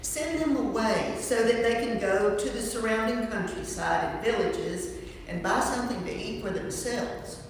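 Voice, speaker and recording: A woman's voice: fairly high pitch, moderate pace, close to an everyday voice. A woman reading the parts of biblical characters in an amateur staged scripture reading. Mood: concerned. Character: biblical characters, a king and disciples. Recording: noisy, echoey church hall from far off.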